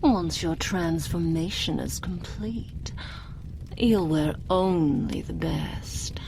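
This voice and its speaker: A woman playing an imperious, seductive and menacing vampire noblewoman in an ASMR roleplay, haughty and theatrical, with drawn-out phrasing. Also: on the low side, gravelly, darkish tone. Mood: hushed, possessive. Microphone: binaural microphone. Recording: close binaural mic, faint background noise.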